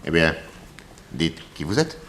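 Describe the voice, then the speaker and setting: A man's voice: extremely low pitch, sing-song, a little slow, a bit bright, full character voice. A man playing a confident detective in a 1960s French radio drama, speaking in a theatrical, emphatic, methodical way. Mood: accusing. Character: confident male detective laying out an accusation.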